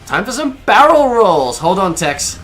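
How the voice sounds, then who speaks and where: A man's voice: high voice, very animated, measured pace, music in the background. A man playing a cavalier, wisecracking smuggler pilot in an audio drama, sarcastic and breezy. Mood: urgent, commanding. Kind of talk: scripted audio drama acting.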